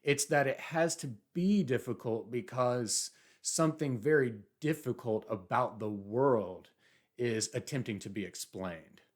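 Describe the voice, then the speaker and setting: A man's voice: mid-range voice, measured pace. A man talking through a novel in a book review video, musing thoughtfully and sometimes reading passages aloud.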